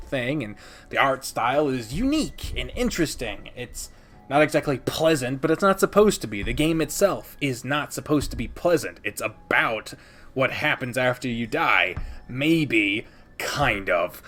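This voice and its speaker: A man hosting a casual countdown review of video games, rambling and informal, swearing freely and speaking with emphatic opinions. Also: medium pitch. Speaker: video game reviewer and channel host. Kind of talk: casual countdown review commentary, partly scripted.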